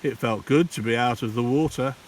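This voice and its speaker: A man, a primary school teacher, reads a children's storybook aloud in a theatrical, deliberate way with dramatic pauses. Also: mid-range voice. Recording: distant mic, hissy background noise.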